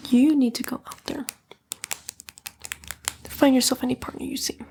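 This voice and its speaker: A woman's voice: high voice, level tone, moderate pace, mellow, slight background noise. A woman voicing a sly, sassy female demon in a whispered ASMR roleplay, coaxing and flippant, with disdainful slang. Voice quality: breathy, mostly whispered.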